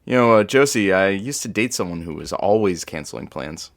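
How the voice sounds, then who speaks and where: Man playing a deadpan, mild radio sidekick. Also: sits low, brisk, vocal fry, no room sound. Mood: wistful, deadpan. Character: wistful, mild male radio sidekick announcer. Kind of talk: semi-improvised audio comedy drama.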